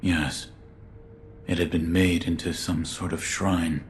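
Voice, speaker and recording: A loyal, stoic ninja from a video game. The voice sits very deep and rough, and the delivery is clipped and solemn. A faint noise lies behind it. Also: male voice, steady tone, slow. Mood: solemn, restrained. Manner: terse, restrained, solemn. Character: stoic loyal adult male shinobi serving a young lord. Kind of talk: video game cutscene acting.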